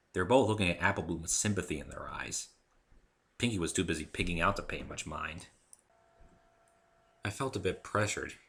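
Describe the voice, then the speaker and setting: A man reads first-person fiction aloud in a dramatic storytelling style. His voice sits very low, yet has a sharp, forceful tone. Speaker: author reading own story.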